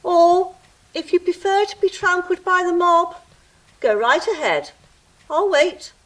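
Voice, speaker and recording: Audio drama, woman as officious creature gatekeeper, high voice, slow deliberate pace, pompous, pedantic, theatrical. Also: lively intonation, fairly bright tone, heavily acted, poor audio. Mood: haughty, officious. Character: fussy, officious ticket-eating gatekeeper creature. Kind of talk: stage-style audio drama acting.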